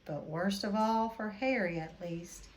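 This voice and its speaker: A woman reading a children's book aloud expressively, with deliberate dramatic emphasis. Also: mid-range voice, slight background noise. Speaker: read-aloud storyteller.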